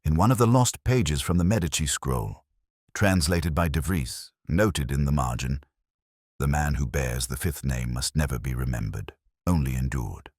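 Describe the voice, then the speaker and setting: A man reading the part of an aging, detached, brooding gentleman detective in a Victorian audiobook, speaking in grave, cryptic pronouncements. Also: extremely low pitch, normal speed, no room sound, studio quality. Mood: brooding, solemn.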